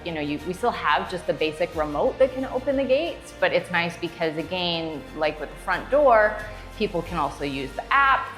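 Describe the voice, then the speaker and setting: Woman, slightly low voice, chatty customer testimonial explaining stuff, music playing behind her. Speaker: customer giving a testimonial, strata council member. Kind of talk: casual interview testimonial. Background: background music.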